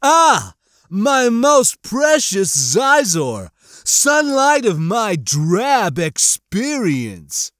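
An effusive arachnid alien schemer for an audiobook. He speaks in a raised, slow, stretched voice. Every phrase is oily and theatrically humble. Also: extremely high pitch, wildly swooping pitch, fairly bright tone, no room sound, clean studio sound, voice pushed up, exaggerated voice. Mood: gushing flattery.

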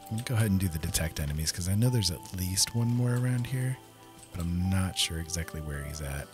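A man's voice: deep voice, animated, brisk. A man giving casual commentary over a video game playthrough, easygoing and thinking aloud as he plays. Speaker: gaming video host. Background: game soundtrack music.

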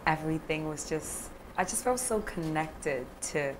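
A young woman from Ghana speaks in a low, deep voice, chatting as a guest on a studio set. She laughs often and talks with animated gestures, with steady noise in the background. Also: measured pace, low-quality recording. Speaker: guest filmmaker. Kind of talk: relaxed interview talk. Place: studio set, seated on sofa.

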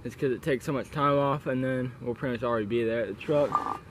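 A young man talks casually to a handheld camera in a medium-pitched voice. He is slangy and swears freely, like someone vlogging on a hike. There is some noise on the outdoor recording. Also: fast talker. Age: young adult. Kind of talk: casual handheld camping vlog. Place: outdoors on a windy mountain, partly inside a tent. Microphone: handheld camera at arm's length. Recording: noisy wind-blown outdoor camera audio.

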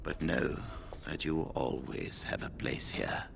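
An actor playing a weary, grave old mage leader in a fantasy game, speaking in a formal, measured way with a low gravelly voice. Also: male voice, expressive, heavy background noise, heavily acted. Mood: grave, courteous.

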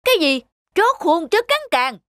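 A woman's voice: high voice, very animated, normal speed, a bit bright, slight background noise. A woman voicing an arrogant, plump older male village scholar in a cartoon, talking down to everyone in a haughty, scolding way. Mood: scornful. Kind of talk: cartoon voice acting. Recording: music playing under voice.

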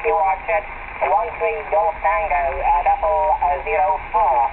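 A man's voice: high-pitched, steady tone, unhurried, gravelly. A man heard over shortwave radio, making a contact and repeating his words and call signs. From Finland. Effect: shortwave radio reception. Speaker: radio operator. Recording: very noisy, crackly narrowband radio reception. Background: heavy radio static.

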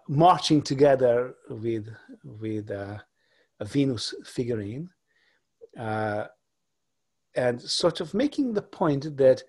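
A husky, breathy-voiced man in his forties gives a lecture over a video call from his home, picked up by a laptop webcam. He speaks at a middling pitch and pauses often, circling back with fillers and restarts as he walks through his slides. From Hungary. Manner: halting, many fillers and restarts. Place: home room.

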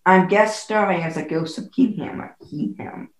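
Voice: mid-range voice, moderate pace, roomy sound. A guest player casually giving an introduction on a role-playing game podcast.

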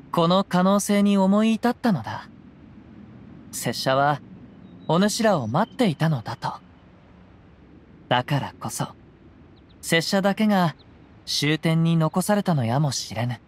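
A man voicing a gentle, reflective young wandering samurai in a video game, speaking calmly and poetically in archaic phrasing. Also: fairly high pitch, a little hiss or hum. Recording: music behind the voice.

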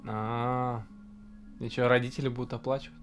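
A young man hosting a casual video-call interview, asking short relaxed questions. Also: medium pitch, moderate pace, faint music behind. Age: young adult. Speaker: host. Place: indoor home room.

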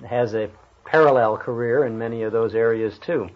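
A man's voice: medium pitch, moderate pace, a little hiss or hum. A man interviewing guests conversationally, complimentary, with hesitant phrasing. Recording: dull lo-fi archive interview audio.

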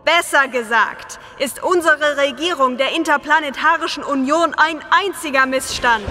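A woman's voice: high voice, moderate pace, noisy background, natural voice. An actress playing an ambitious, indignant female senator who declaims like an orator in a retro sci-fi audio drama. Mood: accusing. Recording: long hall reverb.

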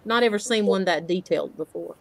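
A middle-aged woman on a headset video call from home, calmly describing a ring's details. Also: lowish voice, fairly quick, vocal fry. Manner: relaxed, descriptive, talks through item details. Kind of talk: casual live-stream product talk. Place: home room.

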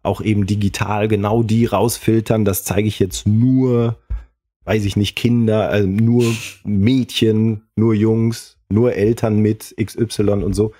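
A man's voice: lowish voice, flat delivery, slow pace, mellow. A man co-hosting a podcast, chatting casually and often correcting himself as he explains. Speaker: podcast co-host.

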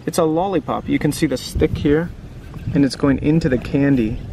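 A man's voice: fairly high pitch, moderate pace, background noise. A man doing a casual read-aloud for children, chatting informally and often asking the listener questions. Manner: informal, asks the listener frequent questions and tag questions. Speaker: children's book reader and teacher.

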